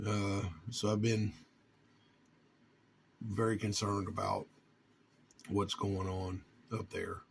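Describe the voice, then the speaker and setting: Man, low-pitched, gravelly fry, earnest informal monologue, halting with long pauses. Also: little pitch movement, a little hiss or hum. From North Carolina, United States. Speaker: vlogger and amateur radio hobbyist.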